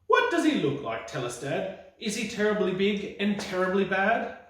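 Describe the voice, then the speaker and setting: A man's voice: high-pitched, big pitch swings, moderate pace, fairly bright tone, voice pushed up. A man voicing a curious, brave young female monster child in a children's storybook read-aloud, eager and exclaiming. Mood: pleading, curious. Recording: echoey room, distant mic.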